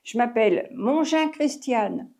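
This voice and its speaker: A woman's voice: fairly high pitch, lively intonation, slow pace, mellow. A French woman in her eighties, a retired taxi driver, telling her story in a rambling, chatty interview with many restarts. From France. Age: 80s. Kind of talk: oral history interview.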